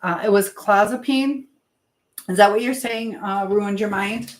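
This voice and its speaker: A woman's voice: mid-range voice, a little slow, reverberant. A woman hosting a live stream in casual chat, drifting informally between advice to viewers and comments on what she is doing.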